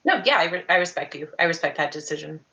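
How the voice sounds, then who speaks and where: A woman with a slightly low voice plays a tabletop game over a video call. She speaks casually and haltingly, slipping into her character's words. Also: lively intonation, bright, forceful tone. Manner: hesitant, halting. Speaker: tabletop role-playing player. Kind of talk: casual in-character role-play over online chat. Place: indoor room on a group video call.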